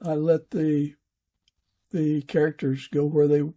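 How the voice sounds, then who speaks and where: A man with a husky, gravelly voice hosting a conversational interview, speaking hesitantly with many halts. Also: on the high side, monotone, dark tone. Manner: hesitant, halting. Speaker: podcast host and fiction author.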